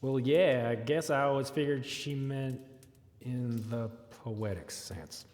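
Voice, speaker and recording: A folksy, guarded fantasy fugitive, acted by a man in an audio drama. He talks in rustic, drawling phrases at a middle pitch. The voice sits in a roomy, long reverb. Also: slow, darkish tone, natural voice. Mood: wary, reasoning.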